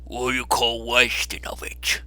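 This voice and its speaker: A man's voice: medium pitch, completely flat delivery, measured pace, pushed and bright, no room sound. A man voicing a sly old dealer in stolen goods for a dramatised Victorian storybook, wheedling and knowing as he haggles. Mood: sly, amused.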